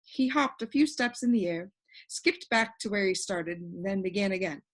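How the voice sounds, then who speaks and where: A woman's voice: mid-range voice, animated, normal speed, low-quality recording. A woman narrating a children's storybook as a reader. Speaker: audiobook reader.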